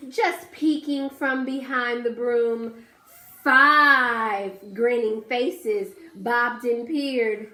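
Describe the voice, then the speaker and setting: A woman's voice: fairly high pitch, pushed and bright. A woman teacher reading a picture book aloud to children, coaxing them to count along and asking them questions. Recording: roomy echo.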